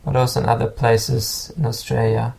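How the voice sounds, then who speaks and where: A man speaks English in a fairly flat, even tone. His voice has a gravelly fry. He talks haltingly and often repeats a word before he goes on. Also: mid-range voice, low-quality recording. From Germany. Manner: halting, repeats words while searching for them. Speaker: guest musician. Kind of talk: casual interview talk.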